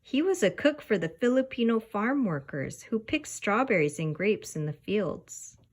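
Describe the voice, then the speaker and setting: A woman voicing a gentle, patient mother in a children's storybook, buttery and encouraging as she explains things to her young daughter. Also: mid-range voice, sing-song, normal speed, pitched above the performer's own voice. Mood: fond, gentle.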